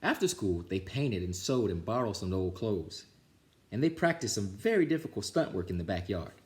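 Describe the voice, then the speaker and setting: A man's voice: fairly low pitch, expressive, normal speed. A man reading a children's picture book aloud as a storytime reader, expressively, with small stumbles.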